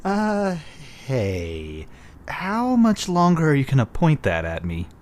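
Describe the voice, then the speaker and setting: A woman's voice: low-pitched, wildly swooping pitch, slow pace, a little mellow, full character voice. A woman voicing a hapless, whiny airhead in an anime dub, flustered and complaining. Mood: resigned. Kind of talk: anime fan dub acting.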